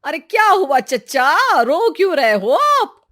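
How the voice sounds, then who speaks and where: A curious, helpful village woman voiced by a woman in a cartoon folk story. She chats in homely, colloquial words, in a high voice that rises and falls a lot. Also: sing-song, takes it slowly, pushed and bright, full character voice. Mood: encouraging. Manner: inquisitive, colloquial. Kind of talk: cartoon moral-story acting.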